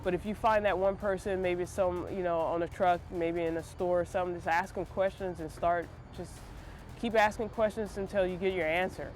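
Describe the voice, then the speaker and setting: A man's voice: high-pitched, steady tone, brisk. A man firefighter giving advice to newcomers, earnest and searching for words. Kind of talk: interview testimonial. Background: soft music.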